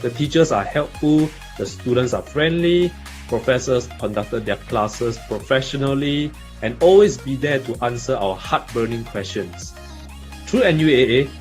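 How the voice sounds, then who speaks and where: A young man from Malaysia in his twenties, a university student giving an upbeat, recited video testimonial. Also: mid-range voice. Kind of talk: scripted video message.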